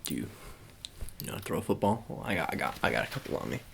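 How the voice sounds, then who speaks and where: A man's voice: a bass voice, very animated, fast, creaky, background noise, full character voice. A man playing an awkward, politely doubtful sports agent in an improvised ASMR roleplay, stammering and repeating his phrases as he talks to the listener. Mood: hesitant, encouraging.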